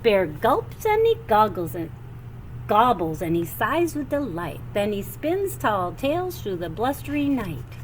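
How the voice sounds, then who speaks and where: A woman reading a children's picture book aloud with animated, sing-song expression and drawn-out phrases. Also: medium pitch, slight background noise, low-quality recording. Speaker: storybook reader.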